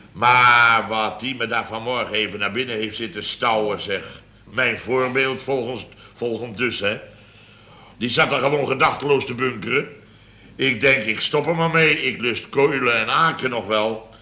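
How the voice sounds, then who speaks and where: Muddled male boss, radio sitcom: bright, tense delivery, repetitive and blustery. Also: medium pitch, moderate pace. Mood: grumbling. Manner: rambling, repetitive, tags phrases with "hè". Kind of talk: 1970s Dutch radio comedy acting.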